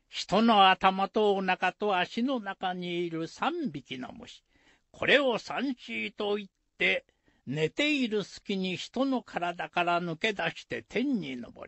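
An actor playing an elderly village elder in a radio folktale, husky and gravelly, admonishing in deliberate rustic phrasing. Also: male voice, high-pitched, fairly bright tone, no room sound, full character voice. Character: elderly male village elder, instructive and folksy. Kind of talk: radio drama acting.

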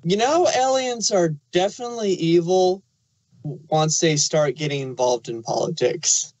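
A man's voice: high-pitched, a little slow, a little mellow, dry recording, rough recording. A man chatting casually as a panelist on a review show. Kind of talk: casual chat.

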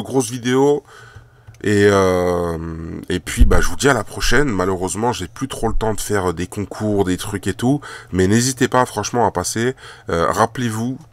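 Man with a cold, chatting casually. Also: lowish voice. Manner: rambling, many fillers and repeated words. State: has a cold. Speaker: card collector and shop owner. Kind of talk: casual video chat while handling cards. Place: indoors at a table covered in trading cards.

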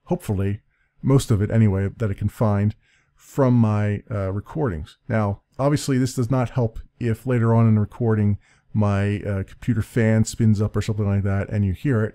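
A man with a deep, mellow voice talks through a casual tutorial from his home computer desk. He rambles, corrects himself mid-sentence and laughs lightly. The sound is close and clean, picked up by a desk-mounted condenser mic with a pop filter. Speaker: let's play creator explaining his audio setup. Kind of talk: casual screen-recorded tutorial.

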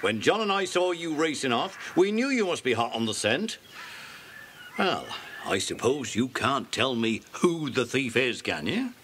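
A genial, commanding police inspector in a children's audio play. His voice is bright and lively, rising and falling widely. A faint tape hiss sits underneath. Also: mid-range voice, unhurried. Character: kindly police inspector, adult man.

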